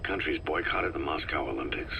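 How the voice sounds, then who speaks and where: Game casting: weary mercenary legend, terse murmurs, bottomless pitch, heavy fry in the rasp. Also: male voice, brisk, a little hiss or hum, exaggerated voice. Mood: subdued, wary. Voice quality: gravelly, hushed rasp. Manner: laconic, low murmured remarks. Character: terse, battle-worn male mercenary legend, dazed after coma.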